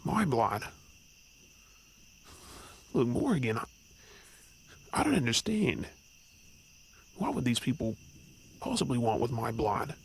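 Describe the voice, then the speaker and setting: A man's voice: medium pitch, sing-song, slow, pauses often, slightly rough, exaggerated voice. A man playing an anxious, blunt young male werewolf in an audio drama, sarcastic and out of his depth. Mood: shocked, anxious. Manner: blunt, agitated, sarcastic, casual swearing. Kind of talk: audio roleplay acting. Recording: background noise with chirping crickets.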